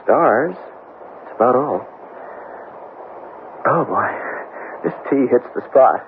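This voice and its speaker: An actor playing an earnest, lovelorn young sailor of twenty in a halting, pleading way, in 1970s radio drama style. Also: male voice, mid-range voice, animated. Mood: shaken, earnest. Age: young adult. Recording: noisy, narrow-band old radio broadcast.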